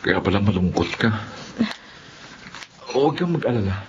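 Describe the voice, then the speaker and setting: A man's voice: fairly low pitch, animated, moderate pace, natural voice. An actor playing a kind, patient male friend, soothing and reassuring, in a radio drama. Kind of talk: Tagalog radio drama acting. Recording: muffled lo-fi audio with loud noise.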